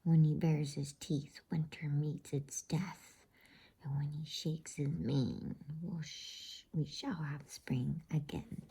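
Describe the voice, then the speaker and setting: Storybook beaver, male, earnest and folksy, played by a woman: breathy whisper, creaky edge, measured delivery. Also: deep voice, fairly even intonation, warm tone, full character voice. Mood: reverent. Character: kindly, emphatic male talking beaver, reverent and folksy. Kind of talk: whispered bedtime storybook reading.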